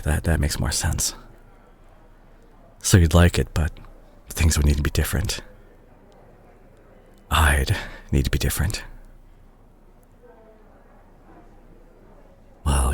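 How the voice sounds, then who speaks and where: A man acting as a remorseful young doctor talking intimately to his ex in an audio roleplay. His voice is low and husky, with lively swings in pitch. He speaks gently and haltingly, full of tenderness. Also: exaggerated voice. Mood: earnest, pleading. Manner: hesitant, soft-spoken, halting. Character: remorseful, tender male doctor, listener's ex-boyfriend.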